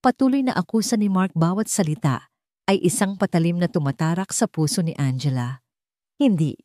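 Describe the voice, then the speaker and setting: Low-pitched woman narrating dramatic fiction. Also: fairly quick, mellow, no echo, clean studio sound. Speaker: storyteller. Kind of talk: dramatic scripted narration of a love story.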